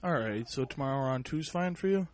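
A man's voice: medium pitch, lively intonation, quick pace. Man on a call, interjecting uh-huh. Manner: hesitant, keeps interjecting uh-huh. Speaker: prank caller. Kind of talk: casual phone conversation. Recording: phone prank call recording.

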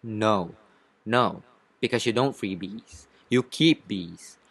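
A man's voice: fairly low pitch, slow pace, fairly bright tone, exaggerated voice. A man voicing an earnest, indignant young bee who speechifies and asks pointed questions in a cartoon courtroom story.

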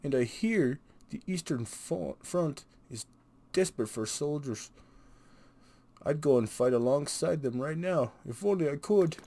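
A man reading as an earnest, loyal soldier in a fantasy game, measured and declaiming. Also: fairly high pitch, lively intonation, vocal fry, warm tone. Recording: noisy home recording.

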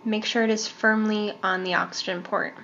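A woman delivers a step-by-step equipment tutorial in a measured, instructional manner. Her voice sits at a medium pitch, with some background noise and room ambience in the recording. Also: steady tone, fairly bright tone, lo-fi sound. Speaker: medical device training instructor.

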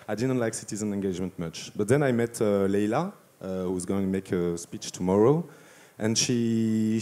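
Mellow-voiced man with a French accent. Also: lowish voice. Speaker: panelist, community project founder. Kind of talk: informal panel talk. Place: festival stage indoors. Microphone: handheld microphone.

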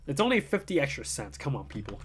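Young male streamer, casual play-by-play, animated pitch, desk mic. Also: quick pace, slight background noise. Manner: chatty, talks to himself while playing, excited bursts. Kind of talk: casual let's-play commentary.

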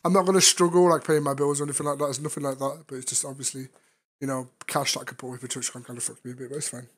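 A man, a regular streamer, chatting casually in a virtual-reality game, his voice strained and hoarse after being ill, loud and crude in his teasing. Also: medium pitch, little pitch movement, rapid-fire. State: strained tired voice after illness. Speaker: VRChat streamer. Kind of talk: casual chat.

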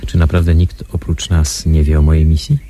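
Dark, low-voiced wary investigator. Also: male voice, flat delivery. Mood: wary, probing. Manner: measured, questioning. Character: wary, thoughtful undercover investigator, a man. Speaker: actor in a radio drama. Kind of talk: radio drama acting. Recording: noisy recording with background ambience.

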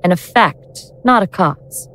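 A woman playing an awakened ancient goddess in an audio drama, speaking in a measured, sardonic, grandiose way with cold contempt. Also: on the low side, animated, fairly bright tone, slight background noise. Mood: calm, superior.

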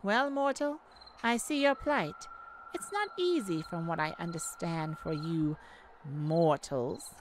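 A woman's voice: lowish voice, animated, background noise. A woman playing a haughty yet kindly goddess of light in an ASMR audio roleplay, theatrical and teasing. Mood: curious, lofty. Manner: theatrical, teasing, mock-offended, with pauses.

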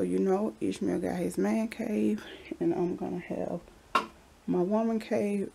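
A woman's voice: medium pitch, creaky, a little hiss or hum. A woman, chatting casually as she walks a handheld camera through her house, sometimes dropping into a whisper. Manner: chatty, relaxed, drops into whispers. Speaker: vlogger giving a house tour. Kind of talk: casual walkthrough narration. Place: basement and hallways of a house, indoors. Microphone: handheld camera held while walking.

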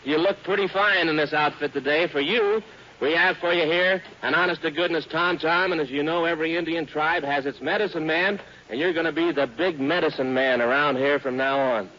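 A man's voice: a little high, steady tone, a little fast, sharp tone. An American man hosting a 1940s children's radio show in a hearty, folksy showman's manner. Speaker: radio show host and announcer. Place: live radio broadcast before an audience. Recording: dull, noisy 1940s radio recording.

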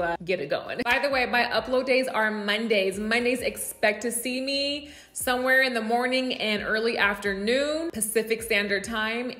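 A woman's voice: mid-range voice, bright, forceful tone. A woman in her thirties with an American accent, chatting casually and informally to camera as a home decor vlogger. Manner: conversational, informal, frequent "like" and "you guys". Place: room at home, indoors. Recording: roomy indoor echo.

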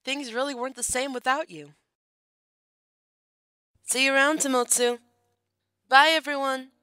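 Lady acting a friendly young sensei, anime drama, highish bright voice, dry sound. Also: steady tone, a little slow, smooth, no room sound. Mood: fond. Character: gentle, friendly young female teacher.

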